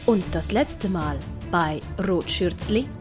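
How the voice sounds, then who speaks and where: A woman's voice: on the low side, animated, slow. A woman with a Swiss German accent reading a scripted story as its narrator. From Switzerland. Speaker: narrator and author of an audiobook. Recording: music behind the voice. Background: music and sound effects.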